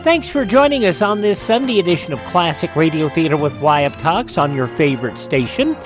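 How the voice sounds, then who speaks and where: A man's voice: fairly high pitch, big pitch swings, a little fast, over music. A man hosting a radio show, reading scripted introductions and news in an announcer's style.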